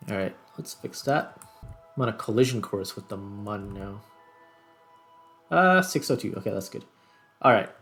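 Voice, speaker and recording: A man with a fairly low voice gives relaxed, casual let's play commentary. He thinks aloud one step at a time as he plays. Faint music sits behind his voice. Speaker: gaming video host. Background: background music.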